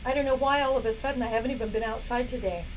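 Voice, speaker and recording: A woman hosting a casual product demo live stream. She is losing her voice and keeps coughing and clearing her throat between chatty remarks. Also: fairly high pitch, racing, faint music behind, low-quality recording. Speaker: live shopping stream host. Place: indoor workplace studio.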